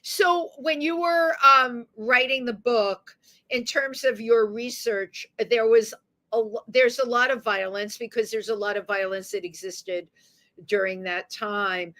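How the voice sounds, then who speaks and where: A woman's voice: fairly high pitch, unhurried, a bit bright. A woman from the Bronx, hosting a radio interview in a chatty, rambling way. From the Bronx, New York. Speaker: radio talk show host.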